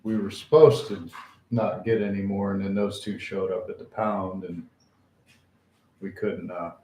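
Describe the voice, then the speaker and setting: Easygoing low-voiced man, echoey room. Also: steady tone, unhurried, mellow. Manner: informal, easygoing. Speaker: live stream co-host. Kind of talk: casual chat with live viewers. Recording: echoey room, faint background noise.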